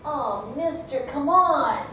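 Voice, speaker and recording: A woman's voice: high-pitched, animated, takes it slowly, pushed and bright, full character voice. A woman storyteller voicing a treacherous, wheedling snake who mocks as readily as it coaxes, in a folktale. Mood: coaxing. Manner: wheedling, mocking. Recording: echoey room, distant mic, faint noise.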